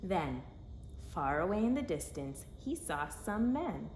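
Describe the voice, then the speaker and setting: A woman reading a children's story aloud in an expressive, dramatic way, with emphatic phrasing for young listeners. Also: lowish voice, takes it slowly. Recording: echoey room with faint background noise.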